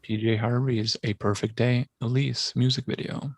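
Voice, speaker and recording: A man co-hosting a casual podcast, tossing out references and puns in a deadpan way. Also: mid-range voice, normal speed, no echo. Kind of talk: casual talk, trading music and film references.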